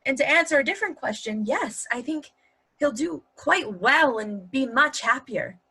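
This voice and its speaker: A woman's voice: high-pitched, animated, normal speed, sharp tone, breathy edge, full character voice. A woman playing a blunt, dry-witted partner who defends her man, delivered pointedly in a play reading. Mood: insistent. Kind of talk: virtual table read of a stage comedy-drama.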